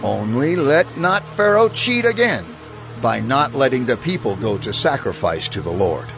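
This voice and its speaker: A man's voice: medium pitch, big pitch swings, normal speed, vocal fry, over music. A man playing a bold, earnest Hebrew prophet in a dramatized scripture audio drama, speaking emphatically. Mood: earnest insistence.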